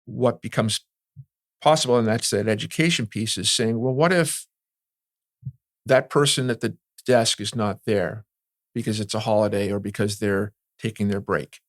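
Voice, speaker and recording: A man with a fairly low voice speaks as a seasoned industry expert in an interview. He explains step by step in a conversational way, often tagging points with "right?", and the sound is dry with very little room. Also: steady tone, moderate pace, no echo. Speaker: security and communications industry veteran being interviewed.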